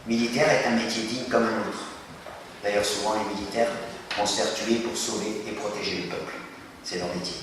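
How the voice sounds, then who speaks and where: A man giving an animated talk to a school audience, questioning them and acting out little scenes. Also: lowish voice, fairly quick. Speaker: speaker addressing students. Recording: echoey hall from far off, noisy.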